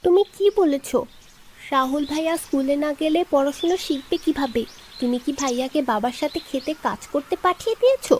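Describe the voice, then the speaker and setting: A woman's voice: high voice, moderate pace, darkish tone, slight background noise, close to an everyday voice. A woman voicing a caring, earnest little schoolgirl in a children's cartoon, sweet and questioning. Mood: worried, questioning.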